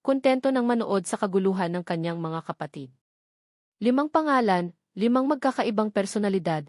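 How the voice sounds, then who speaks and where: Woman, Filipino accent, storyteller, scripted dramatic narration, mid-pitched voice, very dry recording. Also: a little mellow, no room sound.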